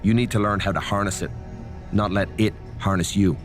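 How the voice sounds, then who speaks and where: An actor plays a cautious male mentor in a wrestling video game. His voice is very low, with a grave and measured delivery, as if always warning. Music runs clearly behind him. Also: fairly even intonation, natural voice. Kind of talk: video game cutscene acting.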